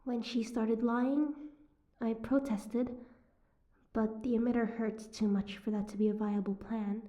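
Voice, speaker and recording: A woman's voice: fairly high pitch, fairly even intonation, unhurried, mellow, natural voice. A woman playing a sardonic, guarded captive mermaid in an audio roleplay, with a wry, teasing, prickly manner.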